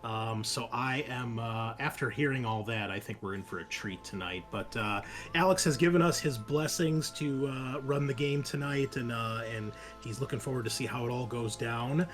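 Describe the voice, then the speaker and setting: A man's voice: mid-range voice, normal speed, hardly any pauses, soft background music. A man hosting a show, giving a chatty, informal introduction in a casual style. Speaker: podcast host.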